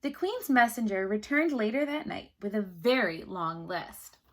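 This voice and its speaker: A woman's voice: mid-range voice, lively intonation, moderate pace. A woman teacher reading a story aloud to children, expressively, with chatty questions to the listeners.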